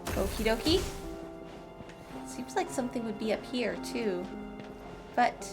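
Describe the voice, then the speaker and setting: Gushing woman streamer with lively pitch. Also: unhurried. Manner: gushing, playful, chatty. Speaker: game streamer. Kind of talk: casual gameplay commentary. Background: game music.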